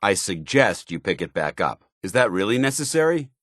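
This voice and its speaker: A low, dry-recorded male voice for a zealous armored paladin in a video game. He is a stern, loyal soldier with clipped, commanding delivery. His pitch moves widely as he talks like a disciplined military companion. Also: sing-song, moderate pace, fairly bright tone, no room sound. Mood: stern disapproval.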